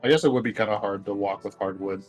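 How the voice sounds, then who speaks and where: A man's voice: on the low side, fast talker, faint music behind. A man making wry wisecracks in casual chat with friends over a video game. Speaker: co-commentator on a game series.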